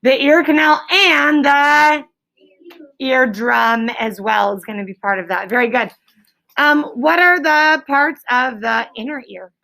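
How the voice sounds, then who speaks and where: High-voiced, creaky female schoolteacher. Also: unhurried, sharp tone. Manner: encouraging, simple explanatory phrasing with leading questions. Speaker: schoolteacher leading a class. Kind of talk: interactive classroom lesson, questioning pupils.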